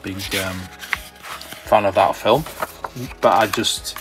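Low-voiced man rambling casually. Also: steady tone, slow. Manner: rambling and hesitant, with many ums and restarts; enthusiastic collector's remarks. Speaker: collector and channel host. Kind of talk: casual commentary while browsing a catalogue. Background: faint background music.

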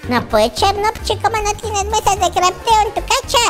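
A very high, squeaky little baby boy narrates a block-game adventure. The delivery is excitable, dramatic and repetitive, like a childish hero talking to viewers, with prominent music behind it. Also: normal speed. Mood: focused, chatty. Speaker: gaming video host. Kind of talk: Minecraft roleplay commentary. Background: children's background music.

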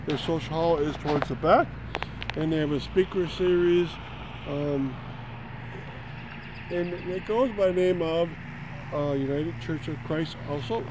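A man giving a casual, rambling history tour outdoors on a town green, recorded on a phone. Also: fairly high pitch. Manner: rambling, with fillers and self-corrections. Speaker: local history tour guide. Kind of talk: casual walking tour narration. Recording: outdoor traffic noise.

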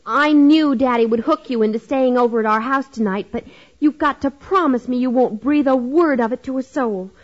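A woman's voice: high voice, moderate pace, lightly acted. An actress playing an eager, pleading, melodramatic American schoolgirl in 1950s radio comedy style. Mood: pleading, dramatic. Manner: gushing, dramatic. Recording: dull band-limited 1950s radio recording.

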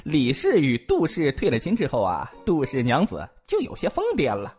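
A man's voice: medium pitch, huge pitch swings, moderate pace, darkish tone, slightly rough, faint music behind. A man voicing a talkative, joking young male physician who gossips and pleads comically in a multi-cast audio drama. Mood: gossipy. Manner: bantering, giggly, exaggerated pleading.

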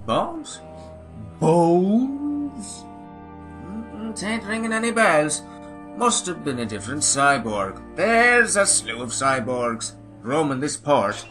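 A man voicing a sly, folksy old cyborg sailor in an animated adventure fandub, drawling and evasive. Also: a little high, takes it slowly, soft background music, full character voice. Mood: feigned innocence.

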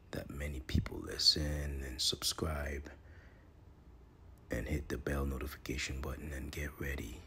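A man hosting a podcast, giving a promotional monologue in a deliberate, portentous way with emphatic pauses. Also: a bass voice, vocal fry, a bit bright. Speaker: podcast host. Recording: noticeable background noise.

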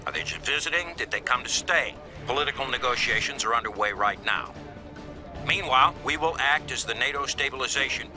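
Man acting brisk military commander over music. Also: medium pitch, expressive, natural voice. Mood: brisk, commanding. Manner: commanding, businesslike. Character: brisk, authoritative male NATO lieutenant colonel briefing troops. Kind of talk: scripted video game mission briefing.

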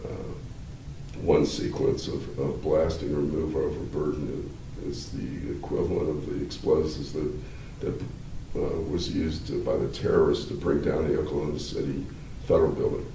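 An older man, a law professor, explaining at length in a measured, halting way with many fillers, seated in a wood-paneled room. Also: a bass voice, level tone, dark tone. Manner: gestures with hands while talking. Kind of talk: explanatory interview answers. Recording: roomy, slightly distant, faint hiss.

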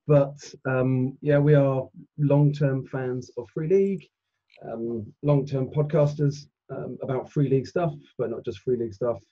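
A man's voice: medium pitch, dull audio. A middle-aged man chatting casually as a guest podcaster over a video call. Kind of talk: casual chat.

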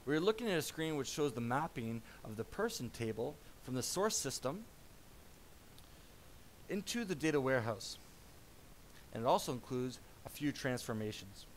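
A man narrating a software product demo as a voice-over, in a measured explanatory tone. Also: medium pitch, sing-song, slight background noise, poor audio.

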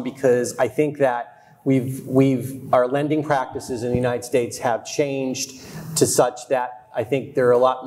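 A middle-aged man speaking as a real estate expert on a conference panel, measured and analytical, wearing a lapel mic on a large hall stage. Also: mid-range voice, darkish tone.

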